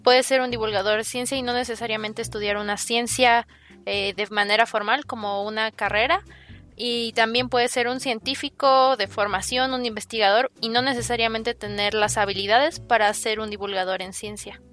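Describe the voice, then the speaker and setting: A woman co-hosting a science podcast, speaking in a clear, measured way. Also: fairly high pitch, fairly even intonation, fairly bright tone, soft background music. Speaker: podcast co-host. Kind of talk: podcast interview chat.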